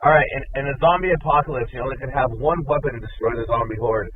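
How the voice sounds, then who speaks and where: A man's voice: medium pitch, fast talker, husky. A man in his thirties hosting an interview, enthusiastic and slangy, often stammering and saying "dude". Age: 30s. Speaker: interview host. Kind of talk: casual podcast interview. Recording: lo-fi phone-like audio with light hiss.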